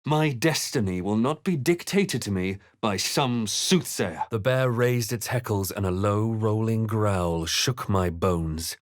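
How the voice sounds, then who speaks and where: A man playing a composed, brooding fantasy adventurer in a video game, telling events in a measured, dramatic way. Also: on the low side, dry recording, studio quality, close to an everyday voice. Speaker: player character voice. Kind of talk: scripted game narration and character lines.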